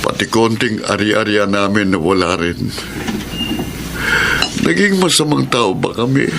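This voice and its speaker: A man's voice: on the low side, expressive, a little slow, creaky, noisy background. A Filipino actor playing a remorseful, self-pitying gambler and grieving father in a radio melodrama. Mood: bitter despair.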